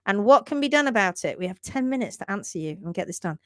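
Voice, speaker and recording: A woman hosting a live stream on hoof care, talking quickly and repeating herself, laughing often as she reads out viewer posts. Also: mid-range voice, lively intonation, dry recording, studio quality. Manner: rapid-fire, repeats words, chatty. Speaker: livestream host, hoof care teacher. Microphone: livestream setup.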